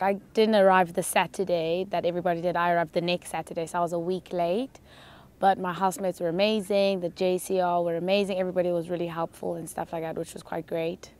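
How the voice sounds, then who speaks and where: A young woman from South Africa, an art student, answering interview questions outdoors in a relaxed, casual way. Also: on the low side. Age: young adult. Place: outdoor park.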